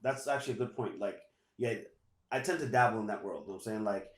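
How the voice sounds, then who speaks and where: Relaxed New man, echoey room. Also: fairly low pitch, fast. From New York. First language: Spanish. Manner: relaxed, many "you know" fillers. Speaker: guest, assistant principal. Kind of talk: casual interview storytelling.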